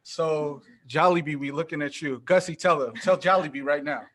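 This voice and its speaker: A man hosting a casual interview, enthusiastic and slangy, often letting his voice drop soft. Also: a little high, normal speed. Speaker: podcast host.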